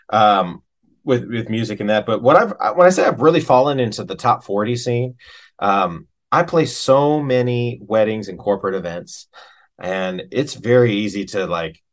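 An American man with a medium-pitched voice speaks casually as a musician being interviewed. He is candid and reflective, using loose, informal phrasing. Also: expressive, moderate pace, a bit bright. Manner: informal and candid, with frequent "man" and "you know". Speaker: interview guest, working keyboard musician.

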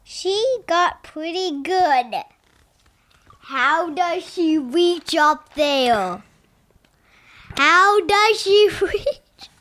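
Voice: very high voice, expressive, drawn-out words, long pauses, pushed and bright. A six-year-old child reading a picture book aloud with chatty asides. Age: child, about six.

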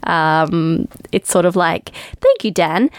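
Australian woman, thirties, podcast co-host; chatty with frequent ums, slightly low, dry close sound. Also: lively intonation, slow, dry recording. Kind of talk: casual podcast chat.

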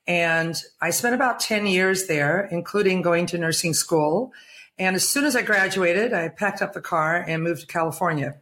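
A woman's voice: lowish voice, moderate pace, a bit bright, slight background noise. A woman in her seventies from England, long settled in the United States, telling personal stories as an interview guest. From England, later United States. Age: 70s. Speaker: interview guest, retired nurse.

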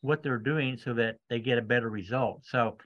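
Man, podcast host, middling pitch, conversational interviewing, slightly muffled recording. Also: moderate pace.

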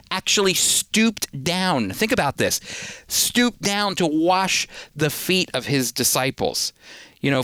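A man's voice: on the high side, a bit bright, dry recording. A man teaching the Bible in an earnest, emphatic way, often repeating a word as he starts a point.

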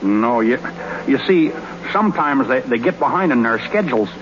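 An actor playing a bashful, tongue-tied young ballplayer in love, stammering and folksy in a 1930s radio comedy. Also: male voice, medium pitch, lively intonation, normal speed, natural voice. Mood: awkward, lovesick. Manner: stammering, folksy ungrammatical speech. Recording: noisy, muffled 1930s radio broadcast.

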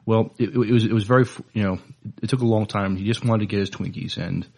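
A man co-hosting a podcast in casual chat, with a halting, hesitant delivery. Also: fairly low pitch, fairly even intonation, vocal fry, darkish tone, slightly rough. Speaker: podcast co-host.

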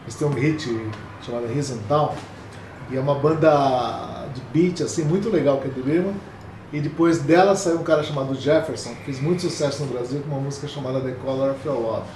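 A man co-hosting a podcast in casual music chat, rambling and relaxed, laughing often. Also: medium pitch, brisk. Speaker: podcast co-host. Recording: echoey room with faint noise.

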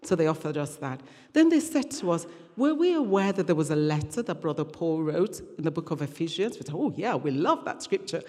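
Mellow low-voiced woman preaching in a hall. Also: sing-song, a little fast. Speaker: guest preacher. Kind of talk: sermon, conversational storytelling. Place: large hall or church, preaching to congregation. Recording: reverberant hall sound.

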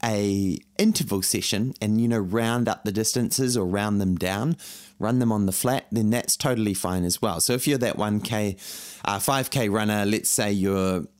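A man with a scratchy, croaky throat from a cold, coaching runners through a workout in a relaxed, encouraging way, often repeating words. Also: fairly low pitch, normal speed. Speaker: running coach. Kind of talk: guided workout talk.

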